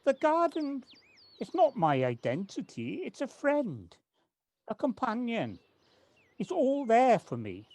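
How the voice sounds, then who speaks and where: A woman in an amateur audio drama playing a devoted, wistful male gardener, speaking in an earnest, halting, reflective way. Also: fairly high pitch, huge pitch swings, darkish tone, exaggerated voice. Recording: noisy home personal-device recording with clicks.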